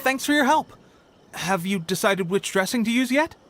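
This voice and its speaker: A man playing a friendly, eager young resistance soldier in an animated, chatty way for an anime game dub. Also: sits high, moderate pace, over music. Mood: upbeat.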